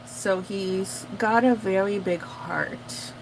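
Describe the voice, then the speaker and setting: A woman's voice: medium pitch, slow, background noise. A young woman whose first language is Portuguese, talking casually and rambling with lots of fillers while she crafts. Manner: chatty, rambling with many fillers. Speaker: craft vlogger. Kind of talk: casual chat.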